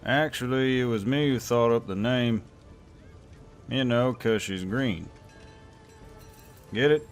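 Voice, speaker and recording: A man's voice: mid-range voice, takes it slowly, pauses often, soft background music. A man playing a loyal tavern helper with a dry, joking manner in a fantasy audio roleplay. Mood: wry, joking.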